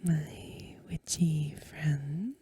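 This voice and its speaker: A woman speaking in a hushed, gentle ASMR style, breathy and mostly whispered, unhurried as she hosts and reads aloud. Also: low-pitched. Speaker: host of an ASMR channel, academic reader. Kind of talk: soft-spoken ASMR talk and story reading.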